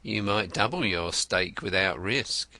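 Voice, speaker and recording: A shrewd, wry detective in a storybook reading, voiced by a male narrator. He asks his questions slowly, with dry, teasing humor and a bright tone. The recording is close and dry. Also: low-pitched, dry recording, exaggerated voice.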